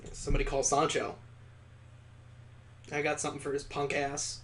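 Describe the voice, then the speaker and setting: A man with a slightly high voice narrates a visual novel for a gaming playthrough. He moves between reading the prose out and tossing in wry, joking asides in a casual way. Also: completely flat delivery, moderate pace, noisy background. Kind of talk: let's play read-aloud with ad-libbed commentary.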